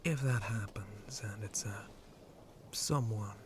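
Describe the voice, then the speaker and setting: Man voicing a slow, breathy, flirty shark pirate. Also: background noise. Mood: instructive, roguish. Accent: put-on pirate speech. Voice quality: breathy, frequently whispered. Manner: slow, teasing, drawling pirate talk with low chuckles. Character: flirty, roguish shark-man pirate captain with sharp teeth. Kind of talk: ASMR roleplay addressed to the listener.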